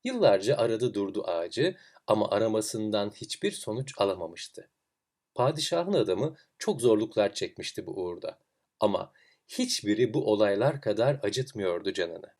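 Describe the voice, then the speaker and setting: A man narrating a literary fairy tale in a theatrical, expressive way with deliberate phrasing. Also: fairly low pitch. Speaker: storyteller, host of a tale series.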